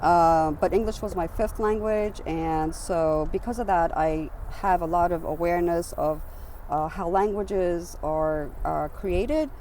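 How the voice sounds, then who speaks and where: A woman's voice: fairly low pitch, measured pace, warm tone, rough recording. A woman in her fifties from Romania whose first language is Hungarian, talking earnestly and with animation in a street interview, sometimes repeating words for emphasis. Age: 50s. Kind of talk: street interview, casual talk. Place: outdoors on an urban trail. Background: faint traffic.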